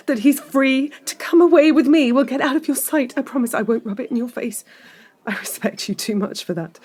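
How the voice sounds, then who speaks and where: An actress playing a middle-aged, lovelorn mistress in an audio drama, articulate, insistent and emotional. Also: female voice, high-pitched, darkish tone. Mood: urgent pleading. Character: desperate pleading mistress, lovelorn and long-suffering.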